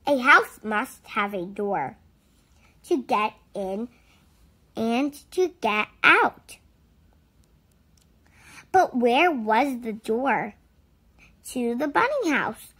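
A woman reading a children's picture book aloud with deliberate, expressive phrasing. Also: on the high side, slightly rough. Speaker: storybook reader.

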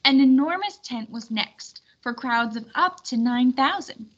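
A woman reading a children's storybook aloud in an expressive, clearly instructional way. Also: high voice, measured pace, dull audio. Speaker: storybook reader.

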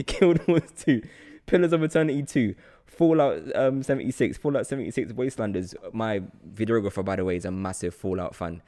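A man, hosting a casual interview in an effusive, excitable way. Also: medium pitch, a little fast. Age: 20s. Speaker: podcast host and actor. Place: studio room with large windows. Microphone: podcast mic on boom arm.

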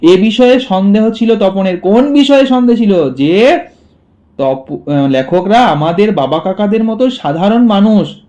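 A man's voice: high voice, lively intonation, a little slow, dark tone, dull audio. A man teaching a literature lesson, explaining a story to students by repeating lines and asking questions he then answers. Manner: asks rhetorical questions and answers them.

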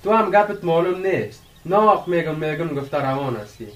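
Man acting an impatient high-voiced village man. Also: animated, close to an everyday voice, low-quality recording. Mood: teasing, impatient. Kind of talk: radio drama acting.

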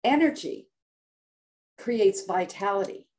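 An older woman speaks as a podcast guest, drawing out her story with dramatic, animated delivery. Her voice sits at an ordinary middle pitch for a woman. She sounds like a practised storyteller talking about health. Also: takes it slowly. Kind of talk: storytelling in conversation.

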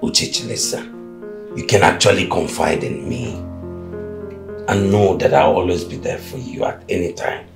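A very gravelly, low-voiced Nigerian man in a film drama. He is attentive and persistent, speaking deliberately, with music behind him. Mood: concerned, welcoming.

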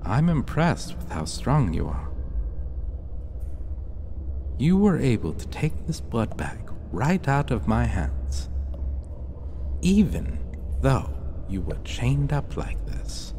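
A man playing a cocky, possessive vampire captor in a dark fantasy ASMR roleplay, taunting and commanding. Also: mid-range voice, very animated, slight background noise. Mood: condescending, menacing. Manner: taunting, commanding, slow and deliberate.

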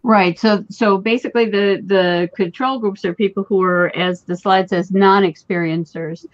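A woman's voice: medium pitch, steady tone, normal speed, no room sound. A woman moderating a question session over a video call, friendly and organized. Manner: friendly, organized, a few restarts. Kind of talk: moderating online Q&A. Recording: dry dull video call audio.

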